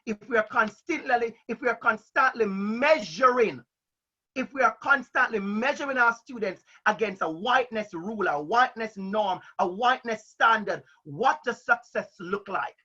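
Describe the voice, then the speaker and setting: A man's voice: sits high, animated, moderate pace, sharp tone, dull audio. A man with a Jamaican accent speaking emphatically on a panel for school principals, asking rhetorical questions.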